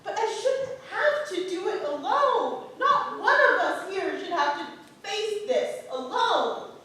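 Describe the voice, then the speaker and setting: An actress playing a polite, dutiful townswife of early modern England in a staged play reading. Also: female voice, very high-pitched, very animated, slow, sharp tone, exaggerated voice. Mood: earnest. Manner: polite, deferential. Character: Bridget, a deferential, dutiful, hard-pressed early modern townswife. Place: playhouse stage. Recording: echoey theatre from far off, faint noise.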